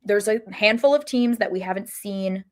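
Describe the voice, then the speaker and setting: A woman's voice: mid-range voice, normal speed. A woman speaks as a sports podcast co-host. Her delivery is casual and thoughtful, with an ordinary mid-range voice and frequent self-corrections as she works through her analysis.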